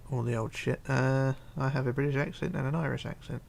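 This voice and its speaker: Male livestream host: mid-pitched, gravelly, chatty and meandering, faint noise under him. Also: fairly even intonation. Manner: rambling, chatty, halting with fillers and restarts. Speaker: Minecraft livestreamer. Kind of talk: casual livestream, reading chat aloud.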